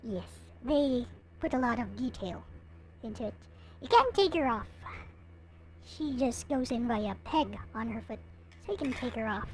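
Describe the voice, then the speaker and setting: A woman giving a casual, unscripted show-and-tell as a vlogger, in a halting way as she thinks aloud. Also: a little high, expressive, a little mellow, slight background noise. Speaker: vlogger showing collectible figures.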